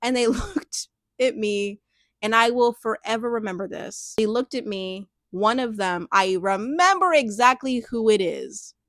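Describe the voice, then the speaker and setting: A young adult woman speaking candidly in a reflective personal monologue, thoughtful and searching, with frequent "like" fillers and soft laughs. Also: fairly high pitch, lively intonation, a little slow, dry recording. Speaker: personal storyteller.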